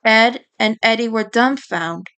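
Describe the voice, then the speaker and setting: A woman's voice: a little high, measured pace, sharp tone, clear, resonant tone. A woman reading a story aloud as dramatic audiobook narration. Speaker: audiobook reader.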